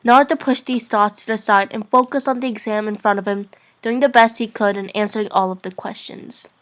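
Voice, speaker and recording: A woman's voice: mid-range voice, fairly even intonation, fairly quick, a bit bright. A woman narrating fan fiction in an informal, amateur reading-aloud style. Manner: giggly, informal.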